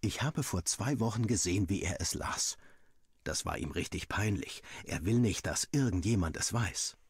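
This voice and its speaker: A man reading a first-person story aloud in an expressive, scripted storytelling style, with a husky voice. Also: low voice, moderate pace, dry recording, studio quality.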